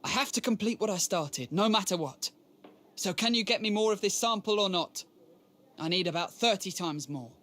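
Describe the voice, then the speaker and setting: Breathy, desperate, ailing young scholar. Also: male voice, fairly high pitch, lively intonation, unhurried, long pauses, fairly bright tone, full character voice. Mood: determined. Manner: earnest, formal, intense. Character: ailing, desperate, devoted young male scholar seeking a cure. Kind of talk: dramatic game voice acting.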